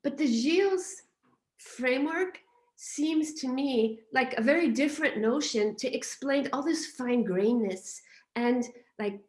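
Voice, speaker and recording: Higher-pitched woman in an echoey room. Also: a bit bright. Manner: halting, self-interrupting. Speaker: audience questioner at an academic Q&A. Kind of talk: academic discussion, thinking aloud.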